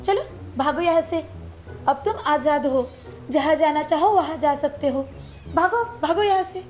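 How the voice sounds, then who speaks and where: A woman storyteller voicing a humble, kind, homeless young mother in a gentle, earnest way for a children's moral story. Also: high-pitched, unhurried, soft background music. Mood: gently shooing.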